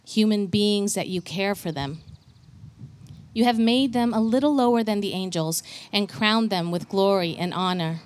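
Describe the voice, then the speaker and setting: A woman reads a psalm aloud to the congregation in a slow, emphatic, solemn way. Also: medium pitch, a little hiss or hum. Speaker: scripture reader. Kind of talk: measured scripture reading.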